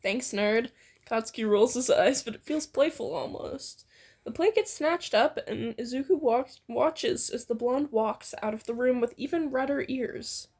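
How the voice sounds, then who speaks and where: A woman's voice: on the high side, a little slow, a little mellow. A young woman reading fan fiction aloud informally, with playful, slangy, teasing banter. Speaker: amateur fan fiction reader.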